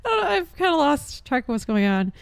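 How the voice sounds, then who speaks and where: A woman's voice: high voice, big pitch swings, brisk, gravelly, no echo. A young adult woman chatting casually as she streams a game, rambling in an informal, unsure way. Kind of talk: casual live stream chat.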